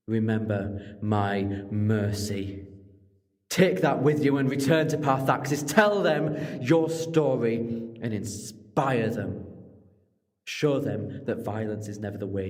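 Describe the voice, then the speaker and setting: A man's voice: on the low side, darkish tone. Man acting a heroic time traveller in reverberant hall. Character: heroic male time-travelling alien adventurer, moral, commanding. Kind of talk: sci-fi audio drama acting. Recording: long reverberant hall, music behind.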